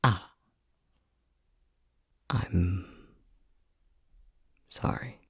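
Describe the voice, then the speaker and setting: A man acting a husky, flustered low-voiced boyfriend. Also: very slow, dry recording, studio quality, exaggerated voice. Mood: gentle, sympathetic. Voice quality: breathy, husky. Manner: hesitant, stammering, soft-spoken. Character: flustered, tender young boyfriend newly discovering magic powers. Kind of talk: ASMR roleplay acting.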